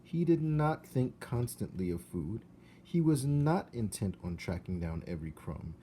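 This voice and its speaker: A man narrates a children's story with dramatic, deliberate emphasis. His voice is of middling pitch, mellow and very animated, recorded with some background noise. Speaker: read-aloud storyteller.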